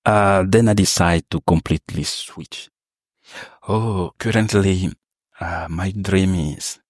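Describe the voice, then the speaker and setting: Deep-voiced man from Cameroon, hesitant. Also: dry recording, studio quality. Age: young adult. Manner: hesitant, many fillers and restarts, non-native phrasing. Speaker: interview guest, sales professional. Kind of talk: casual storytelling interview.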